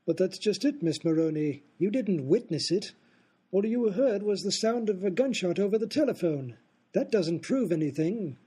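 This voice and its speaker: A man's voice: high-pitched, animated, moderate pace, mellow, breathy edge. An actor playing a smug, scheming aristocrat in a comedic radio play, explaining his prank at length.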